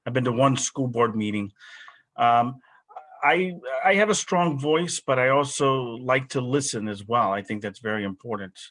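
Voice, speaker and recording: A man's voice: medium pitch, moderate pace, fairly bright tone, no room sound. A man running for a school council, answering hesitantly on a video call with many restarts. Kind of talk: candidate forum answer over video call.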